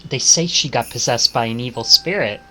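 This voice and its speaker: A man's voice: medium pitch, a little fast, sharp tone, a little hiss or hum. A man streaming a visual novel, reading it aloud in English with dramatic narration.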